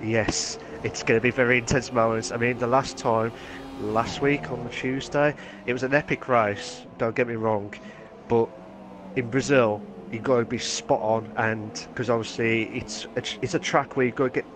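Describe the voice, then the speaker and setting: A man co-commentating on a sim racing event, excitable and prone to loud exclamations. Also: mid-range voice, normal speed, noisy background. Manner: excitable, shouts and exclaims over the action.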